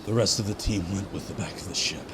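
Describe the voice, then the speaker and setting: An actor playing a weary, gritty space soldier who records a grim personal log in an audio drama. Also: male voice, sits low, fast, big-room sound, heavy background noise, natural voice. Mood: grim, shaken. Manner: brooding, gritty, swears.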